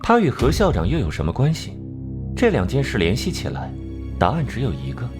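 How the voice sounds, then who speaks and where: A man playing a sharp, cocky detective in an animated drama, smugly laying out deductions with theatrical rhetorical questions. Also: medium pitch, fairly quick, over music. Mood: incisive.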